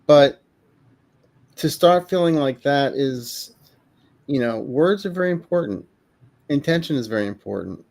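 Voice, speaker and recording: A man's voice: medium pitch, expressive, unhurried, gravelly, long pauses, darkish tone. A man co-hosting a casual livestream, speaking in an emphatic, encouraging and preachy way. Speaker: co-host.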